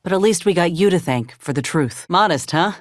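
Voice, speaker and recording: A woman's voice: lowish voice, lively intonation, a little fast, no room sound, clean studio sound, lightly acted. An actress playing a frank, caring young woman soldier in a game, earnest and plain-spoken with folksy turns of phrase. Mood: frank, troubled. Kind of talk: video game companion dialogue.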